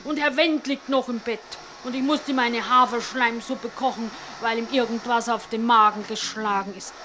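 A woman's voice: a little high, fairly even intonation, moderate pace, background noise, natural voice. A woman playing a chatty, plain-spoken housekeeper who grumbles and complains, in a German radio play. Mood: grumbling. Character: grumbling, chatty housekeeper of a bachelors' flat share.

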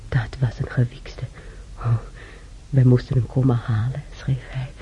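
An older woman acting an ailing, weary old woman reminiscing alone in a radio drama, halting and sighing, with a breathy voice. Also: extremely low pitch, flat delivery, full character voice. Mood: fond reminiscing. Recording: dull radio recording, faint noise.

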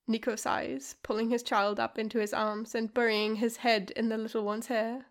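Lady reading a fan story out loud, kinda high voice, soft and even. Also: moderate pace. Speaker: podfic reader.

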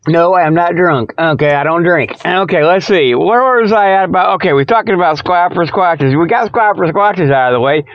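A man hosting a radio show, delivering a rambling, irreverent comic monologue with mock sales pitches in a folksy, tangent-prone way. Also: fairly quick, few pauses, no echo. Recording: dull lo-fi radio sound.

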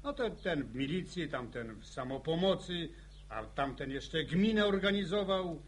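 A man's voice: a little high, animated, moderate pace, husky. An actor playing a hearty middle-aged village man, telling things emphatically in a radio drama. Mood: reminiscing. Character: hearty village man, former partisan, reminiscing proudly.

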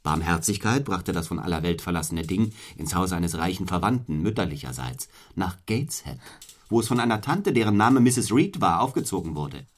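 A cold, driven clergyman from a radio drama, with a low, husky male voice. He speaks formally and deliberately, stern and rhetorical, as if every sentence were weighed. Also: big pitch swings. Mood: measured storytelling. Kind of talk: German radio play acting.

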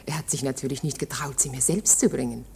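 An actress playing a flirtatious, chatty wife of a court usher in a radio drama, colloquial and coaxing. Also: female voice, very deep voice, fast talker, creaky, warm tone, slightly rough, full character voice. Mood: flirtatious, confiding.

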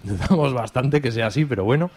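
A man's voice: mid-range voice, animated, fairly quick, darkish tone, slight background noise. A man with an accent from Spain, hosting a radio show in a casual, unscripted, colloquial way. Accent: Spanish from Spain. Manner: informal, colloquial. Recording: music playing behind the voice. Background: background music.

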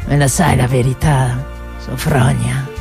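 A woman voicing a roguish, passionate old male cook with a hoarse, cavernous voice, in a theatrical drawn-out delivery for a radio play. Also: extremely low pitch, flat delivery, darkish tone, pitched below the performer's own voice, exaggerated voice. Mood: wistful tenderness. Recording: music behind the voice.

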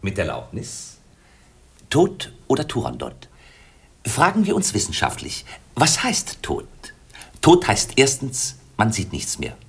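A man's voice: medium pitch, huge pitch swings, unhurried, vocal fry, a bit bright, slightly rough, full character voice. A man playing a pedantic comic chancellor in a theatrical radio play, pompous and declamatory in a mock-scholarly way. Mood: pedantic, argumentative. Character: pedantic chancellor, comic male courtier and announcer.

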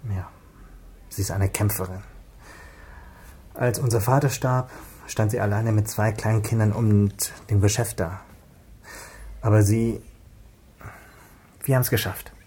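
A man's voice: fairly low pitch, fairly even intonation, a little slow, long pauses, warm tone, a little hiss or hum, natural voice. An actor playing a guarded, subdued young male police officer in a naturalistic radio crime drama. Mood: weary, subdued. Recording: faint music behind the voice. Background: music.

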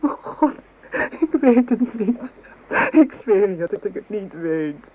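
An actress playing a lovesick, guilt-ridden young maidservant in a radio play, with halting, breathy, pleading delivery. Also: female voice, mid-range voice, expressive, mellow, dull audio. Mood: anguished, desperate. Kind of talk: melodramatic Dutch radio play acting. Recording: noisy old radio drama recording.

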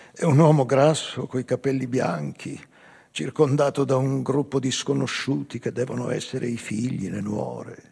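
An older male actor playing a weary, melancholy old man confessing a past crime in a halting, raspy theatrical monologue. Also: mid-range voice, darkish tone. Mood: wry reminiscence. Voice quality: raspy, husky, breathy. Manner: halting, slow, dramatic pauses. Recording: live music behind the voice.

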